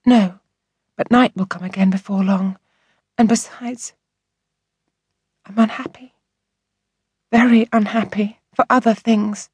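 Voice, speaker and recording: A woman's voice: medium pitch, fairly even intonation, unhurried, pauses often, a little mellow, breathy edge, no room sound, voice pushed up. A woman voicing an unhappy, earnest orphan girl in a storybook reading, with a plaintive manner.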